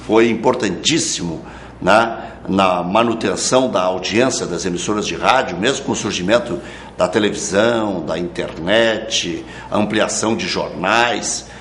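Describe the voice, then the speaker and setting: A man in a reflective interview about radio, speaking in a measured way with frequent asides. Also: sits low, a bit bright, reverberant, a little hiss or hum.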